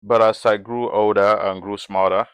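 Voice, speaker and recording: A man in conversation as an interview guest, speaking haltingly with repeated words and frequent restarts. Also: fairly low pitch, level tone, clear, resonant tone, dry recording.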